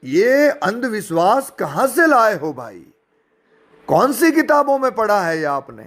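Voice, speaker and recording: A man's voice: high voice, big pitch swings, measured pace, fairly bright tone. A man giving an informal spoken rebuttal, sarcastic and indignant, mocking his opponents and firing rhetorical questions. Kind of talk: informal spoken rebuttal, addressing listeners directly.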